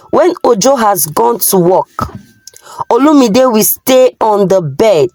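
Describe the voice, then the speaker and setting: Slow, lively Nigerian woman storyteller. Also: on the high side, no echo, low-quality recording. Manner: deliberate, simple storytelling in short phrases. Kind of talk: narrated moral folktale.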